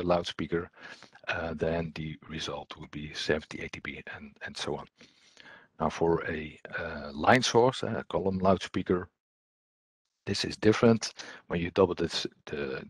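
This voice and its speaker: Guy with a deep voice explaining technical stuff in a webinar, kinda halting, close dry sound. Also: breathy edge, dry recording. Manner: hesitant, halting delivery. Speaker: webinar presenter, technical trainer.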